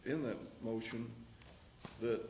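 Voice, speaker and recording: A man leading a county commissioners meeting, speaking in a folksy, halting way with many pauses and restarts. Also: mid-range voice, fairly even intonation, darkish tone. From Texas. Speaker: county official chairing meeting. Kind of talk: public meeting remarks. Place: county commissioners court room. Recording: echoey room mic with faint noise.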